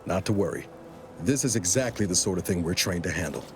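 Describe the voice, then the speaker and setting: Earnest, low-voiced loyal officer. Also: male voice, animated, fairly quick, noisy background. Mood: earnest, determined. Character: earnest, loyal male uniformed officer seeking trust. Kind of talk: dramatic video game dialogue.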